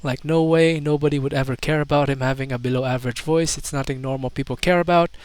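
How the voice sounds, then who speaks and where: A man's voice: mid-range voice, fairly even intonation, quick pace, clean tone, no room sound. A man doing casual YouTube commentary, reading comments aloud in a mocking, incredulous manner with hesitant asides.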